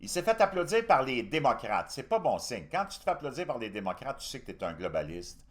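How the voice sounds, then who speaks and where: A man's voice: mid-range voice, very animated, a little fast. A man from Canada with a Québécois accent gives casual, opinionated political commentary as a host, speaking colloquially and emphatically. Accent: Québécois French.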